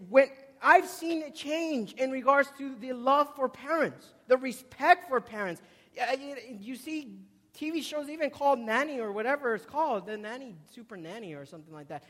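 A man in his twenties preaches in a very high-pitched voice, ringing through a hall with long reverb. His delivery is emphatic, repeating key words and moving between loud stresses and quieter asides. He often puts questions to the congregation. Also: expressive, normal speed. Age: 20s. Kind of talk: sermon with questions put to the congregation. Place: large indoor hall before a congregation.

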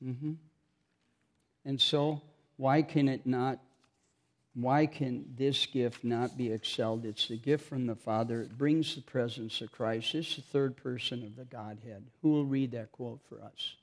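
Gravelly, chatty preacher man. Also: medium pitch, steady tone, a little slow. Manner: chatty, self-mocking, jokes with the congregation. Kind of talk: informal sermon with scripture reading.

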